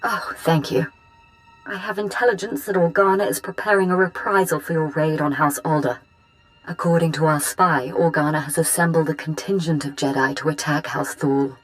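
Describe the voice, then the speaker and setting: A courteous, anxious noblewoman played by an actress with a low voice. She speaks formally and deferentially, as in a video game drama, over faint music. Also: fairly even intonation, moderate pace, lightly acted, poor audio. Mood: polite, anxious. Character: polite, worried noblewoman pleading for help.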